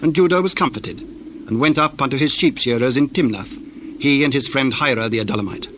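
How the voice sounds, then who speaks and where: A man narrating scripture from a script in a measured, formal style. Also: medium pitch, fairly bright tone. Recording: older recording with faint noise.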